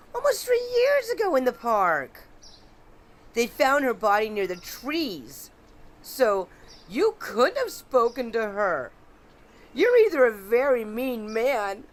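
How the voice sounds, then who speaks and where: A woman's voice: high-pitched, exaggerated voice. An actress playing a courteous, genteel neighbor woman, easily wounded, in a radio drama.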